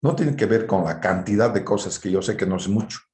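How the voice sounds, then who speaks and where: A man's voice: fairly low pitch, lively intonation, brisk, darkish tone. A man lecturing informally to students in a didactic way, often checking in with short tag questions. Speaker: university engineering lecturer. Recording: roomy, echoing online class audio.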